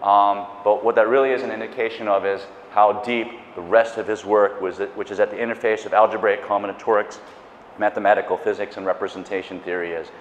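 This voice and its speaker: A man's voice: fairly low pitch, fairly quick, a little hiss or hum. A middle-aged man giving a public math lecture on a lapel mic, enthusiastic and chatty, with asides and self-corrections. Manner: enthusiastic, animated gestures, pacing the stage. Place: lecture hall. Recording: echoey lecture hall, dull sound.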